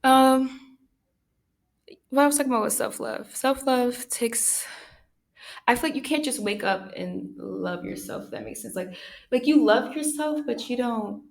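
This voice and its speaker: A young woman hosting her own podcast, talking through personal thoughts in a soft, soothing voice, pausing often and using many fillers. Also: fairly high pitch, creaky. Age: young adult. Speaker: podcast host. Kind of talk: reflective personal monologue.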